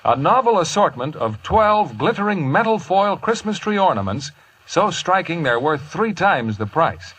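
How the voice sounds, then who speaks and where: A man's voice: fairly high pitch, very animated, moderate pace, fairly bright tone, breathy edge, poor audio. An American man reading a scripted 1950s radio commercial as the announcer, with a polished, emphatic sales delivery. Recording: vintage 1950s radio broadcast.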